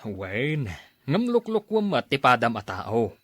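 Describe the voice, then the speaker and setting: A man's voice: mid-range voice, very animated, slow pace, a bit bright, no echo, studio quality, exaggerated voice. Man playing a fair-minded, reproachful husband. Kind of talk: radio drama acting.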